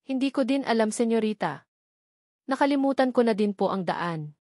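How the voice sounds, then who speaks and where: A woman's voice: a little high, animated, moderate pace, a little mellow, dry recording, studio quality, natural voice. A woman with a Filipino accent voicing a polite, deferential female servant in an audiobook story. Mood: worried. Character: deferential female servant companion to a young lady.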